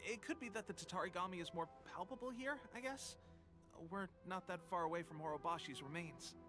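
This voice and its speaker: A man's voice: high-pitched, brisk, music in the background, natural voice. An actor voicing an earnest young male resistance soldier who is coughing from a cold, in a game cutscene. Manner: earnest, coughing between lines. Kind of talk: video game cutscene voice acting.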